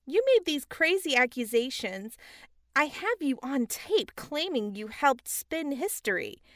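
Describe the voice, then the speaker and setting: A woman's voice: high-pitched, big pitch swings, measured pace, dry recording, studio quality, full character voice. A woman playing a blunt, skeptical newspaper reporter in an audio drama. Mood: exasperated, doubting.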